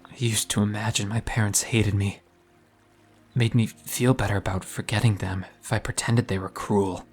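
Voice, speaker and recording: Actor doing self-doubting young guy in an audio drama, raspy-soft and a bit low, vulnerable, music underneath. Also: fairly even intonation, moderate pace, close to an everyday voice. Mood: vulnerable, hopeful. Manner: hesitant, vulnerable, confessional. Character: wounded, earnest, self-doubting young man.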